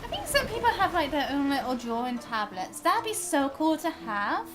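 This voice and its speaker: A woman chatting casually and excitably while livestreaming a game, in a bubbly, giggly manner. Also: high-pitched, moderate pace. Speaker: live streamer. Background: game music.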